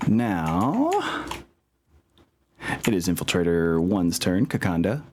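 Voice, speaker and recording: A man casually narrating a tabletop game as game master, informal and sweary, thinking out loud. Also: deep voice, lively intonation, takes it slowly, a little hiss or hum. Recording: music playing behind the voice. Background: background music.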